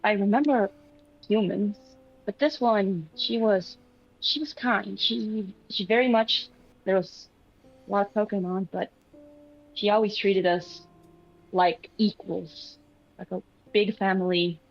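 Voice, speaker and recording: A woman voicing a hesitant, awkward amnesiac creature in a tabletop roleplay, speaking haltingly. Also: mid-range voice. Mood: wistful, halting. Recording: muffled lo-fi mic, music behind.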